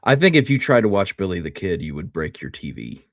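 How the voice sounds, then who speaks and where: A man with an American accent and a fairly low voice chats as a podcast co-host. He is opinionated and colloquial. The recording is dry. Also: very animated, fairly quick, no echo. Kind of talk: casual history chat.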